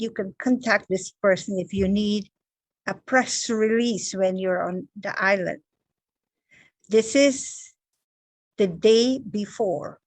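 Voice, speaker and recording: A woman's voice: mid-range voice, dry recording, rough recording. A woman from Guyana, giving an inspirational spiritual talk as a meditation teacher, stressing her words one at a time in emphatic lists.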